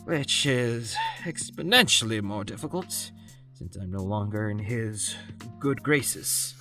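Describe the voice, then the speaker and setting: A man's voice: lowish voice, animated, takes it slowly, sharp tone, faint music behind, heavily acted. A man playing an arrogant, scheming villainous scientist in a comic dub, speaking in precise, wordy, pompous diction. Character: arrogant, calculating villainous male doctor scientist, theatrical schemer.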